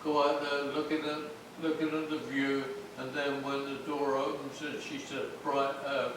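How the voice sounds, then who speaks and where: A man's voice: medium pitch, little pitch movement, moderate pace. A man directing a play rehearsal, giving plain, instructive blocking notes and repeating himself. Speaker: stage director. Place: echoey indoor rehearsal space, recorded from afar. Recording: very echoey room, distant microphone, noisy.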